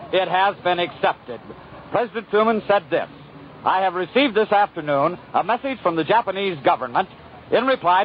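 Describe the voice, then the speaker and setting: Male American correspondent, 1940s live news style, notably high voice, muffled archival broadcast. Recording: muffled, faintly noisy 1940s radio broadcast.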